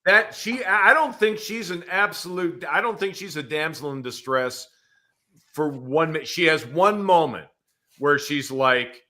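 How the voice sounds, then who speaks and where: A man's voice: on the high side, a little slow, bright, forceful tone. A man in a casual chat as a podcast guest, talking in a blunt, emphatic way.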